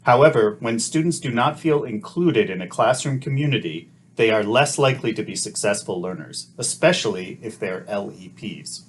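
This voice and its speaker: A middle-aged man narrating a scripted teacher-training lesson in a calm, measured, explanatory way. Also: fairly low pitch, a little hiss or hum.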